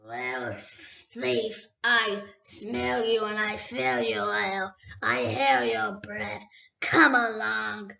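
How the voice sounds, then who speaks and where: A woman voicing a huge, cunning male dragon who drawls slowly and sneers. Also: fairly high pitch, expressive, vocal fry, pushed and bright, roomy sound, muffled recording, exaggerated voice. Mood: menacing. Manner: slow, drawn-out, sneering speech.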